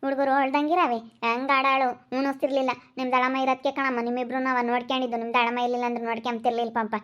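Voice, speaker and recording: Woman playing a rustic, high-voiced old granny. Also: little pitch movement, fast, a bit bright. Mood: fond, gossipy. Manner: rustic, chatty, reminiscing. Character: old grandmotherly woman who raised the children, fond, gossipy. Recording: dull lo-fi sound.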